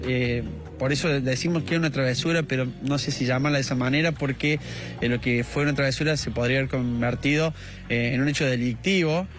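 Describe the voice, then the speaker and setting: A man's voice: mid-range voice, music in the background. A man in his thirties with an Argentine accent, reporting live from a street with a handheld mic and lots of fillers. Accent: Argentine Spanish. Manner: many "eh" fillers, measured. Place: outdoors on a residential street.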